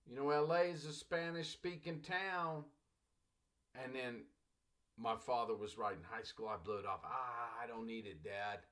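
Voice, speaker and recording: A man's voice: mid-range voice, a little slow, a bit bright. A middle-aged man from Los Angeles, a college English teacher, talking to his class in a loose, rambling way and often calling them "class". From Los Angeles, United States. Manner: addresses listeners as "class", loose and digressive, repeats phrases. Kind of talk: recorded class lecture.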